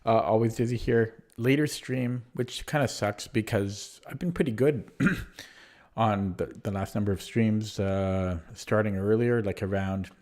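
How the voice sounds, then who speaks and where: Man, deep low voice, hoarse, throat-clearing, casual live chess streamer, thinking aloud. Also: unhurried, a little mellow. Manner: thinks aloud while playing, muttering over moves.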